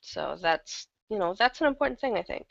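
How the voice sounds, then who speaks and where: A woman chatting casually as an interview guest, with a gravelly creak in her voice, over a lo-fi online call. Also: medium pitch, very animated, brisk. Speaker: interview guest, audio drama producer. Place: online video hangout.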